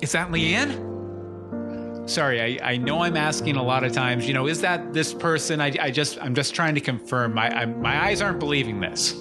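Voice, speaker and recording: Man as a high-voiced, wisecracking, rattled journalist. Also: normal speed, close to an everyday voice. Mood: bewildered. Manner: wisecracking, excitable, stammering. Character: disgraced male fake-news journalist, flippant and rattled. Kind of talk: scripted audio comedy acting. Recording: music under the voice.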